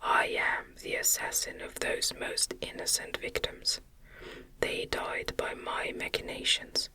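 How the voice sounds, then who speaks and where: A woman voicing an anguished, guilt-ridden young man in an impassioned breathy whisper, in a storybook reading. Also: slow pace, bright, forceful tone. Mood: desperate insistence.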